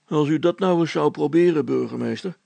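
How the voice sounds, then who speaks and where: A man's voice: fairly high pitch, brisk, vocal fry, dark tone, no echo, voice pushed up. A man voicing a practical, irritable father in a story, plain-spoken and urgently directing others. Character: Brilstra, practical, irritable, take-charge father, adult man.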